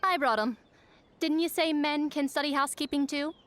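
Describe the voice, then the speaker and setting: A woman's voice: high-pitched, moderate pace, fairly bright tone. A woman voicing a bossy young wife in a video game, pointed and sweetly insistent. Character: brisk, bossy young wife managing her husband. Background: background music.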